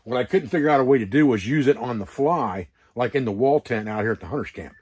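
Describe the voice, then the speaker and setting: A man's voice: mid-range voice, quick pace, a little mellow. A man talking informally as an instructor on an outdoor preparedness vlog, explaining things in a rambling, hands-on way. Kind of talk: casual instructional vlog talk. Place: wall tent at a hunting camp.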